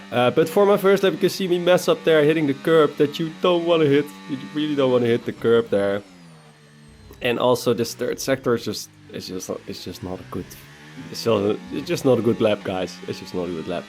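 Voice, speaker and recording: A man's voice: medium pitch, brisk, darkish tone, soft background music. A man, talking through his racing game laps in a laid-back, chatty voice-over while nursing a cold. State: has a cold. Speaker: racing game content creator. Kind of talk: casual gameplay commentary voice-over.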